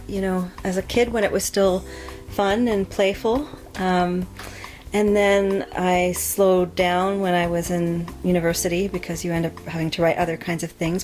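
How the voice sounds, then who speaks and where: A woman's voice: medium pitch, darkish tone. A middle-aged woman being interviewed as an author, answering thoughtfully with frequent ums and restarts. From Canada. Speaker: author guest.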